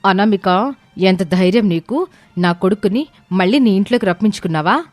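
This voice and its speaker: Woman acting angry, sharp-tongued young mother. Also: mid-range voice, lively intonation, normal speed, no echo, faint music behind, lightly acted. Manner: scolding, accusing, rhetorical questions. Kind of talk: dramatized Telugu family story acting.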